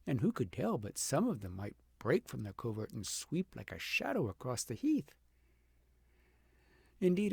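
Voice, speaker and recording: A man narrating a fairy tale aloud as an audiobook, with dramatic, deliberate phrasing that swells for emphasis and drops to a hush. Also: on the high side, creaky, slightly rough, no echo. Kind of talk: expressive storybook narration.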